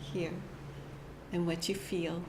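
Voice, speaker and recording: A woman giving a short inspirational church message, gentle and halting, often laughing at herself. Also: fairly low pitch, level tone. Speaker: church speaker. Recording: echoey hall with faint room noise.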